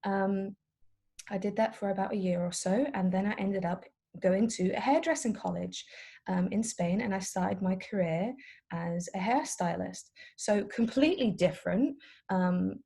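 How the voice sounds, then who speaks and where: A woman's voice: mid-range voice, moderate pace, vocal fry, mellow, slightly rough. A woman of about thirty from the Netherlands, a native Dutch speaker, giving an informal career talk to young people in a chatty, explanatory way as a personal trainer. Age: around 30. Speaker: personal trainer and business owner.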